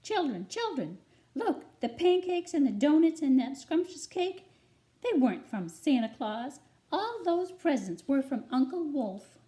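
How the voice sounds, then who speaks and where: A woman voicing an excitable, delighted mother hen from a storybook with a screechy voice. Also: sits high, measured pace, pitched above the performer's own voice. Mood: excited delight.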